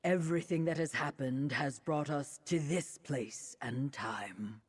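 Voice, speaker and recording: A wise reptilian mage for a fantasy video game. The voice is breathy and rough, moving slowly through poetic phrases. The figure sounds steadfast and measured, an old companion in battle. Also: male voice, fairly high pitch. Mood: solemn, reflective. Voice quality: husky, breathy rasp. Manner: measured, poetic, dryly warm. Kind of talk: video game character acting. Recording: music behind the voice.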